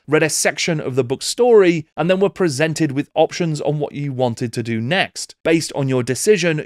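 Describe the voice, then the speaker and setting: A man's voice: on the high side, dry recording, clean studio sound. A middle-aged British man presenting a scripted video essay with wry, theatrical emphasis. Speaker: YouTube host. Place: small book-lined home room. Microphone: close desk microphone with pop filter.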